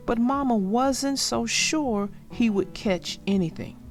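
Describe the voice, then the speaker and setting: A woman narrating a children's storybook in an expressive, deliberate read-aloud style. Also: a little high. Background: music.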